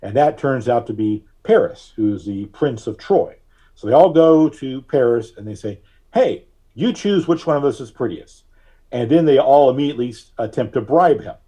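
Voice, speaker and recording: A man being interviewed as a filmmaker, telling stories in an explanatory, conversational way with drawn-out pauses. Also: medium pitch, animated, dark tone, slightly rough. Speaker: guest, filmmaker.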